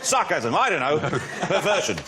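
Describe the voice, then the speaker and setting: A man's voice: fairly high pitch, very animated, moderate pace, over a noisy crowd. A man on a comedy panel show, teasing the host and firing off joke guesses. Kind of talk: quick-fire joking banter. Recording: noisy studio.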